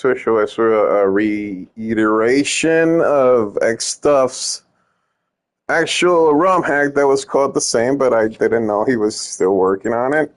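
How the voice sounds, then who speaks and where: Slow, rambling man from Puerto Rico. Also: medium pitch. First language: Spanish. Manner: rambling, self-interrupting, joking. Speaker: ROM hack creator giving updates. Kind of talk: casual rambling vlog update.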